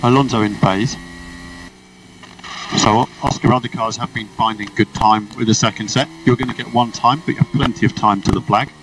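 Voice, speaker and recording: A man's voice: on the low side, steady tone, a little fast, fairly bright tone. Man race engineer on noisy team radio. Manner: clipped, procedural, instructive. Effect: team radio transmission. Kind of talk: motorsport team radio instructions. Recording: noisy distant radio, car and engine noise.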